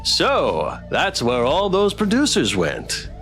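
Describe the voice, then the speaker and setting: A man streaming a visual novel, reading it aloud dramatically and adding playful, expressive commentary. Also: fairly high pitch, slow, faint music behind.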